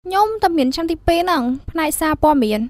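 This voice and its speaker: A woman's voice: extremely high pitch, animated, racing, no echo, studio quality. A woman playing a curious, chatty young media student in a radio drama.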